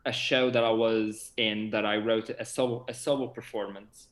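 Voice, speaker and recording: A man's voice: lowish voice, flat delivery, normal speed, roomy sound. A man in his twenties from Madeira with a Portuguese accent, chatting casually and hesitantly as he searches for words, as a guest on a video call. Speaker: interview guest, theatre actor and director. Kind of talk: casual chat.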